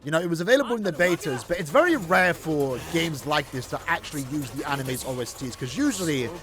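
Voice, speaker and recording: High-pitched man doing casual game commentary. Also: lively intonation, quick pace. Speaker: gaming video host.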